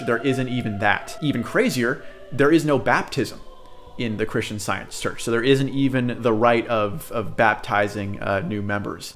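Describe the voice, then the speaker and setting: A young American man introducing his channel in a chatty, earnest way, with many fillers and asides. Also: fairly low pitch. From United States. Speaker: channel host. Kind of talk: casual direct-to-camera introduction.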